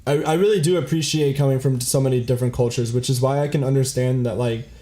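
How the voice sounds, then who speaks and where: A young man from South Florida, co-hosting a podcast in relaxed casual chat, full of fillers and casual swearing. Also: mid-range voice, quick pace. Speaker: podcast co-host.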